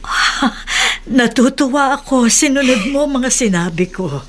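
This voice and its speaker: A woman's voice: sits high, expressive, unhurried, heavy background noise. An actress playing a motherly, concerned older woman who soothes and confides in a Filipino radio drama. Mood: gently probing. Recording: radio drama with music underneath.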